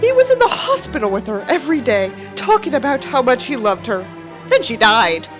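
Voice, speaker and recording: A woman's voice: high voice, very animated, normal speed, music in the background. A woman voicing a bitter, self-pitying young narrator in a sneering, melodramatic dramatic reading of a novel.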